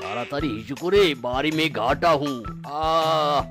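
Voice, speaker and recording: A man's voice: on the high side, moderate pace, natural voice. A man voicing a short-tempered tiger uncle in a cartoon, grumbling and exclaiming as he talks. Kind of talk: cartoon character acting. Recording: music behind the voice.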